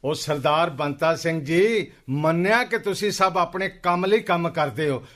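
A man's voice: fairly high pitch, unhurried, a bit bright. A man playing an earnest, principled Punjabi villager who admonishes others in a radio play. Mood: pleading. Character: earnest, principled male villager pleading against crop burning.

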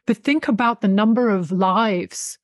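A woman's voice: mid-range voice, unhurried, no room sound, clean studio sound. A woman in her thirties explaining her subject emphatically and conversationally as an interview guest. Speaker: interview guest, author and expert on folk spirituality. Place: small indoor room with bookshelves, video call. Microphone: desktop USB condenser microphone, close.

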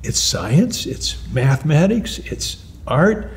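An older man, a retired mathematics professor, answering interview questions in an animated way, often restarting and repeating words. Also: fairly high pitch, slow, slight background noise. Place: lounge-like room with armchairs and lamps, indoors.

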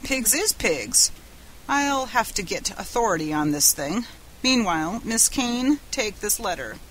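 A woman voicing an officious, businesslike male department head, speaking in a brisk, formal, bureaucratic way. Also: mid-range voice, lively intonation, a bit bright, a little hiss or hum, rough recording. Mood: decisive, officious.

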